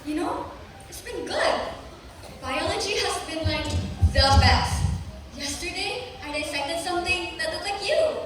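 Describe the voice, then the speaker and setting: A teenage girl acting a sharp-tongued young woman who wants to be a doctor, teasing and defiant on a school stage. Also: very high-pitched, lively intonation, unhurried. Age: teens. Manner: teasing and defiant, draws out words. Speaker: student actor. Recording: echoey hall, far from the mic, noisy.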